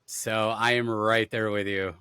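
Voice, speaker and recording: Chatty man, upbeat and bright. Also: fairly low pitch, level tone, unhurried, clean tone, no room sound. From Denver, USA. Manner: rambling, upbeat, lots of fillers and restarts. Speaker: podcast host. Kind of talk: casual gaming podcast interview.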